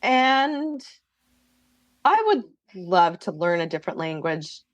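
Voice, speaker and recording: Low-voiced thoughtful woman, dry close sound. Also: big pitch swings, slow pace, no echo, muffled recording. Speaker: guest author. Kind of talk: podcast interview.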